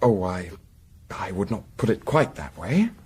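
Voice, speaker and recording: An actor playing a tactful, reticent gentleman of the 1870s in a radio drama, speaking with formal, hesitant politeness. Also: low voice, big pitch swings, measured pace, breathy edge, full character voice. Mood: courteous, guarded. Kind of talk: period radio drama acting.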